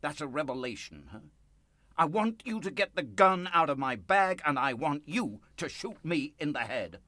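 A man's voice: fairly high pitch, very animated, normal speed, pushed and bright, no echo. An actor playing a desperate, bitter, insistent man in a radio play. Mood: angry, desperate. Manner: insistent, sardonic, emotionally volatile. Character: desperate, bitter man pleading and manipulating his pastor for money.

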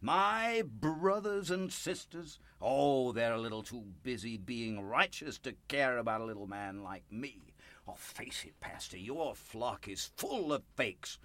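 Desperate man, swinging, bright voice. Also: medium pitch, expressive, a little slow, dry recording. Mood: angry, desperate. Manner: insistent, sardonic, emotionally volatile. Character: desperate, bitter man pleading and manipulating his pastor for money. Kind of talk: radio play acting.

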